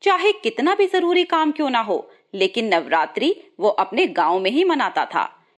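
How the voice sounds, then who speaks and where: A woman's voice: a little high, very animated, normal speed. A woman reading a scripted moral story as its narrator.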